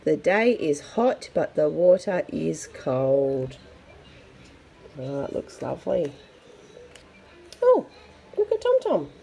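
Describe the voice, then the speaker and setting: A woman reading a picture book aloud to young children in an expressive, chatty way. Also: low-pitched, slow, creaky, pauses often, slight background noise. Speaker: storybook reader for children.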